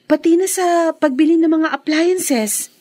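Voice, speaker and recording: A woman playing a scheming, sweet-talking young mistress in a Filipino radio drama, with a coaxing, expressive delivery. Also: very high-pitched, unhurried. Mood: breezy, confident.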